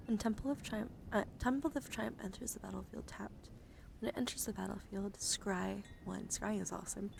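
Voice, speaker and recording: A woman streamer speaking softly and playfully in an ASMR style, close to the microphone, her breathy voice often dropping into a whisper. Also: mid-range voice. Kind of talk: soft-spoken ASMR card showcase. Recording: clear background noise.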